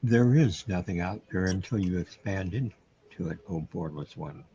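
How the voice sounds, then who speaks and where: A man acting in a radio play as a dry, deadpan companion. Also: sits low, moderate pace, dull audio, slight background noise, natural voice. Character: dry companion insisting on shared dread.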